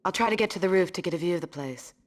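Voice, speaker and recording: A woman's voice: on the low side, racing, a little hiss or hum, lightly acted. An actress playing a tough, sardonic female fugitive in a noir game, curt and cool in her delivery. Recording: music under the voice.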